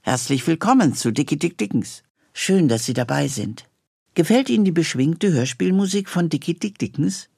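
A man's voice: fairly high pitch, animated, moderate pace, creaky, a little mellow. A man presenting a scripted introduction to a radio series.

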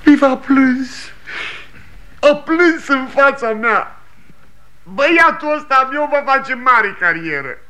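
An actor in his sixties playing an aging, proud, desperate traveling salesman, with a husky, gravelly voice and declamatory delivery in a radio play. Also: very high voice, expressive, long pauses, bright, forceful tone, exaggerated voice. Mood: elated. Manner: declamatory, swinging between bluster and wonder. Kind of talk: 1960 radio theatre acting.

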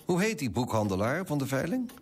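An actor playing a fussy, self-righteous coffee broker in a radio play, pompous and self-important, with a husky voice. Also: male voice, medium pitch, very animated, fairly quick, faint music behind. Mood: curt, disapproving. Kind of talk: first-person radio drama narration and dialogue.

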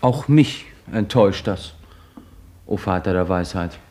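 A man's voice: deep voice, animated, a little slow, loud music behind the voice. A young actor playing an impatient young man pressing with questions, in a 1950s radio drama. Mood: eager insistent. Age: young adult. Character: eager impatient young man seeking a miracle.

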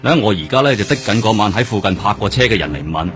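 An actor with a Hong Kong accent playing a seasoned, world-weary detective in a radio drama, speaking emphatically. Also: male voice, fairly low pitch, expressive, fast, a bit bright, soft background music. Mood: matter-of-fact. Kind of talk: Cantonese radio drama acting.

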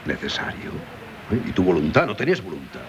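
Radio drama, Castilian Spanish: earnest doctor husband, highly varied intonation, anxious dramatic delivery, slightly noisy vintage sound. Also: male voice, very animated, fast. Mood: anxious, questioning. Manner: dramatic, urgent questioning. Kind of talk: 1970s radio theatre acting. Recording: dull, noisy 1970s radio recording.

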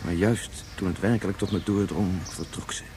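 An actor playing an anguished, regretful scientist of great age in a Dutch science fiction radio play, speaking haltingly and turning back on his own words. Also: sits low, steady tone, noisy background, close to an everyday voice. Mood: brooding, regretful. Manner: halting, repetitive, ruminative. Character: anguished 177-year-old scientist, brooding, regretful father.

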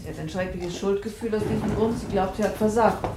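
An actress playing a reserved, disapproving woman novelist in a radio drama, cool and measured. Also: medium pitch, steady tone, heavy background noise, lightly acted. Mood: thoughtful, pitying. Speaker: radio play actor. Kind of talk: German radio drama acting, restrained.

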